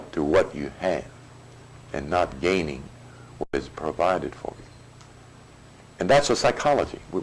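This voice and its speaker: Slow, low-voiced man lecturing. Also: a little hiss or hum, low-quality recording. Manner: deliberate, halting phrasing with colloquial asides. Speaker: spiritual teacher. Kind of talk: informal lecture.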